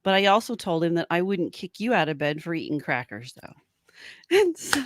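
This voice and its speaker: A woman's voice: on the low side, big pitch swings, moderate pace, a little hiss or hum. A woman co-hosting a podcast, chatting casually and informally, rambling along with a giggly streak. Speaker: podcast host. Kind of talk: casual live-stream chat.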